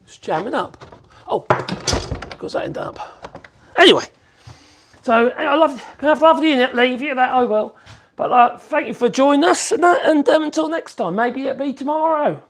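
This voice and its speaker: A man's voice: very high voice, moderate pace, pauses often. A man, hosting a casual woodworking livestream. He rambles in a chatty way and coos to his puppy in a sing-song voice. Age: 50s.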